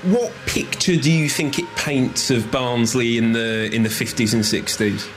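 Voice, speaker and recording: A man reporting for radio, loud and upbeat as he interviews a guest. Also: medium pitch, a little slow. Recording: faint music behind.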